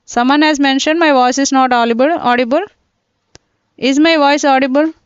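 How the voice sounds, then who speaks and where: A high-pitched woman teaches an online class step by step, repeating points and checking in with students. Her voice is dry and dull, like lo-fi laptop audio. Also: fairly even intonation, normal speed, no room sound. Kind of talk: live online class lecture. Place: indoors, teaching from a computer. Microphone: laptop mic.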